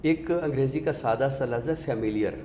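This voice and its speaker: A man's voice: medium pitch, a little fast, mellow. Man explaining literature in an echoey room. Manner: didactic, explains step by step with examples. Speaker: literary expert guest. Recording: echoey reverberant room with background noise.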